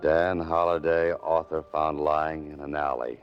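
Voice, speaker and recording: A world-weary writer-detective from 1940s radio noir. His voice is extremely deep and his delivery clipped and wry. The recording is dull and old, with music prominent. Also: level tone, a little slow. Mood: brooding, wry. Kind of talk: 1940s radio acting, first-person noir narration.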